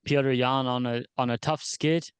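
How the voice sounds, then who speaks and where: A man hosting a casual sports podcast, talking in a relaxed, easygoing way with some hesitant pauses. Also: mid-range voice, smooth, no room sound. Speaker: sports show host.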